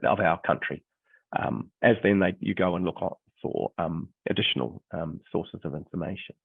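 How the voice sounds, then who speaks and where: A middle-aged man with a New Zealand accent talks through a webinar, his low voice croaky and gravelly from illness. He hesitates often, filling pauses with ums. The sound is dry and thin, like a phone-line video call. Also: no echo. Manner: hesitant, many ums and restarts. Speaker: history teacher and textbook author. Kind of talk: webinar presentation.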